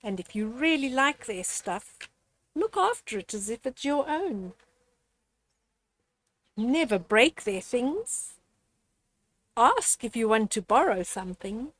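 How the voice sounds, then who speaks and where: Slow, high, lively woman storyteller. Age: older. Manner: gentle didactic, addressing young children directly. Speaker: storyteller reading children's books. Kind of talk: read-aloud picture book with moral commentary.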